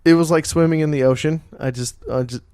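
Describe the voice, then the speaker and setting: A man's voice: fairly high pitch, steady tone, quick pace, dark tone, smooth, dry recording, studio quality. A man with a nasal voice co-hosting a casual podcast, joking, swearing freely and laughing often. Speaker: podcast co-host. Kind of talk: casual banter over drinks.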